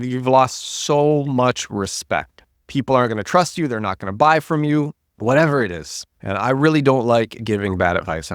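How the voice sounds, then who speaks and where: Man with a cold, talking low. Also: dry recording, studio quality. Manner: animated, restarts and repeats words. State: getting over a cold, low husky voice. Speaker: podcast host. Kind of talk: solo podcast monologue.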